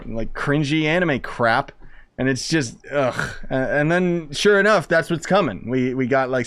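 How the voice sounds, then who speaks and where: Mid-pitched man rambling over a game. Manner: slangy, opinionated rambling. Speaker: gaming streamer. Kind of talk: casual gameplay commentary.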